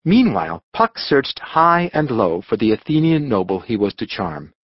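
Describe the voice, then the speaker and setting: A man narrating a scripted storybook audiobook with dramatic, expressive delivery. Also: mid-range voice, moderate pace, no echo, highs cut off.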